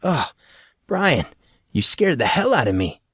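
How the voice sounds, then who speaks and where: A man's voice: a little high, very animated, measured pace, a bit bright, husky, dry recording, studio quality, pitched above the performer's own voice, exaggerated voice. A man voicing an awkward, polite fourteen-year-old schoolboy with a hesitant, casual way of talking, in an audiobook. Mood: startled.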